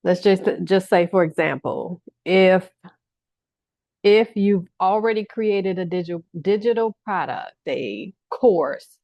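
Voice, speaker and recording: A middle-aged woman coach talks viewers through a workshop in a somewhat low voice. She is encouraging and repeats her points for emphasis. The recording is dry and a little dull, with no room sound. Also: no echo. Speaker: business coach. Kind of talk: workshop-style video talk to viewers.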